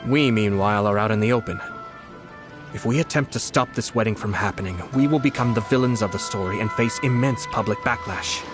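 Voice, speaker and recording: A man voices a courtly young lord from an anime game. His voice is on the low side, measured and formal, shrewd and unruffled, with music playing behind him. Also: close to an everyday voice. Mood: calm, resolute. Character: composed, courtly young nobleman, shrewd and unflappable commissioner. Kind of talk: anime-style game voice acting.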